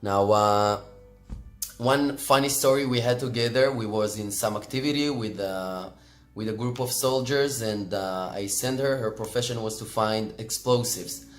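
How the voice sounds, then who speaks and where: A man's voice: fairly low pitch, unhurried. A man in his twenties with an Israeli accent, casually telling an anecdote in an interview. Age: 20s. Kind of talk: interview storytelling. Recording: echoey room with music.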